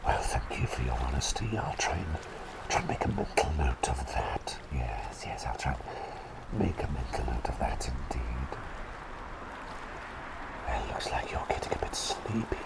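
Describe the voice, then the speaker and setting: A man voicing a kindly, silly talking bird doctor in a whispered ASMR roleplay, speaking hesitantly and politely and softly repeating his phrases. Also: big pitch swings, unhurried, heavily acted, low-quality recording. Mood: polite, caring. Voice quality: breathy whisper with creaky low voiced moments.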